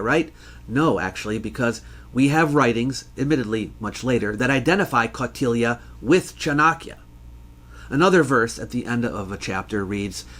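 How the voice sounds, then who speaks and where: A man giving scripted educational narration as a history host, in a measured, scholarly, explanatory manner. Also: medium pitch, fairly bright tone.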